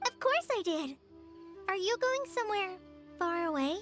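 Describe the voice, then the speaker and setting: A woman's voice: very high voice, expressive, moderate pace, music in the background. A woman voicing an earnest, modest young apprentice ranger in a video game, eager, slightly hesitant and deferential. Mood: eager, flustered.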